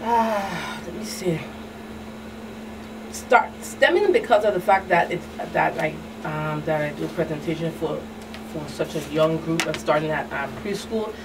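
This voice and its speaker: A woman's voice: low-pitched, a little slow. A woman answering as a guest expert, earnest and conversational, circling back and checking that she is understood. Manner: earnest, repetitive, often adds "you understand". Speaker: community outreach specialist, guest expert. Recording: distant mic, clear background noise.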